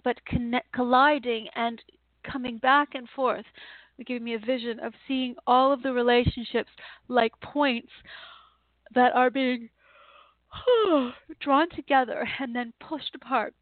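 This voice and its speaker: A woman delivering a channeled spiritual message in a slow, halting, repetitive way, with sighs. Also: on the high side, dry recording. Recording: tinny phone-like line, dry.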